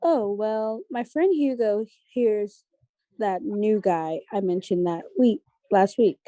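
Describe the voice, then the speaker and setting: A woman's voice: medium pitch, takes it slowly, warm tone, dry recording, lightly acted. A young woman playing a friendly, chatty teenage team PR girl in a table read. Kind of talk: table read of a scripted pilot.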